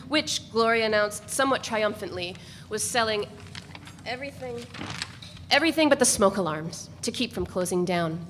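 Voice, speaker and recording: A woman's voice: mid-range voice, fairly bright tone. A woman giving a dramatic reading of a novel as a first-person narrator. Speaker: reader of a novel. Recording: echoey hall, faint background noise.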